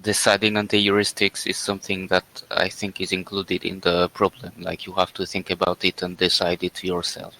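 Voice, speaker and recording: A man's voice: low-pitched, monotone, normal speed, creaky, few pauses, bright, forceful tone, rough recording. A man working as a teaching assistant, adding brief matter-of-fact remarks during an online class.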